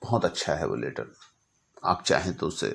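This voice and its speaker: A man telling a story reflectively in a didactic way, with measured delivery and deliberate pauses. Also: a bass voice, animated, creaky. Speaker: storyteller and speaker. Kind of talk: reflective storytelling, reciting a letter from memory with asides.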